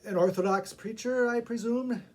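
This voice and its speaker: A man voicing a sly hotel diner in a storybook tale, clearing his throat and asking pointed, emphatic questions. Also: very high-pitched, moderate pace, pitched above the performer's own voice.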